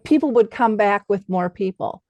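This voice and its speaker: A woman speaks as the host of a talk show. Her voice sits at a medium pitch and her delivery is conversational. The sound is dry, with very little room in it. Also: normal speed, warm tone, dry recording. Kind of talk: conversational interview hosting.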